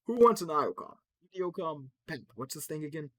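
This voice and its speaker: A man voicing a brash, joking male trickster in a loud, high-pitched voice. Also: expressive, moderate pace, no echo, studio quality. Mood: mocking.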